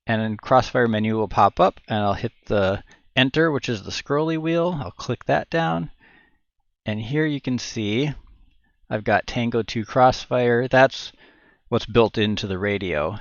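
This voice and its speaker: A middle-aged man giving an informal step-by-step tech tutorial on a headset mic indoors, explaining haltingly as he thinks aloud. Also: on the low side, a bit bright, dry recording, dull audio. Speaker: tutorial presenter. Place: indoor room at home. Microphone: headset boom microphone.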